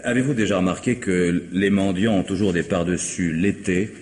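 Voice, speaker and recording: An actor playing a cynical, mocking vagabond in a vintage radio drama, with a wry, laughing way of talking. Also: male voice, sits low, normal speed, a little mellow, slight background noise, natural voice. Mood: sardonic. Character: sardonic cynical male vagabond tramp.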